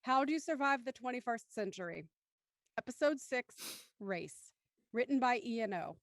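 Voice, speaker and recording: A woman's voice: on the high side, expressive, measured pace, no room sound, clean studio sound. A woman hosting a live streamed script reading and narrating stage directions, with polite, formal phrasing. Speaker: host and stage-direction reader of live show.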